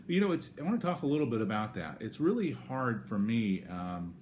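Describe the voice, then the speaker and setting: An American man talking casually and unscripted to camera in a rambling, halting way, often correcting himself as he gives a personal update. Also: mid-range voice, big pitch swings, a little mellow. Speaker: vlogger giving a personal health update. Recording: clear background noise, room ambience.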